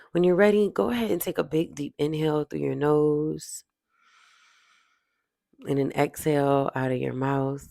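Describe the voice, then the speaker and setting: Meditation guide, mid-pitched and heavily creaky, soothing, repetitive affirmations with long pauses, close dry sound. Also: a little mellow, dry recording.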